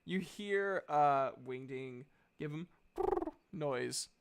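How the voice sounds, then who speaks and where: A man's voice: fairly high pitch, extremely slow, smooth. A man narrating casually as game master of a tabletop game.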